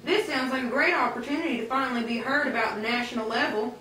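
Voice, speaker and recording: A woman's voice: fairly high pitch, quick pace, pushed and bright. A woman playing an earnest old-time American man in an amateur puppet show. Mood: fed up. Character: earnest 19th-century American man, farmer or reformer. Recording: echoey room, distant mic, clear noise.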